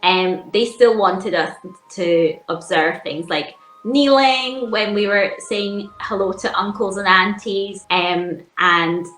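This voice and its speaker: A woman guest telling childhood stories in a measured, reflective way, with frequent ums. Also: mid-range voice, fairly bright tone, reverberant, soft background music, rough recording. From England. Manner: measured, reflective storytelling with fillers. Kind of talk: casual chat.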